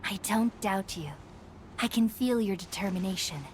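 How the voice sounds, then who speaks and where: A woman's voice: medium pitch, moderate pace, slight background noise, natural voice. A woman voicing an earnest young female character in a video game. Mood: reassuring. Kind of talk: scripted game dialogue.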